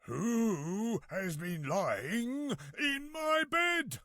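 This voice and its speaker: A man's voice: mid-range voice, huge pitch swings, unhurried, creaky, a bit bright, slightly rough, dry recording, clean studio sound, heavily acted. A man voicing an indignant father bear in a fairy tale, with pompous, old-fashioned exclamations. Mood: roaring anger.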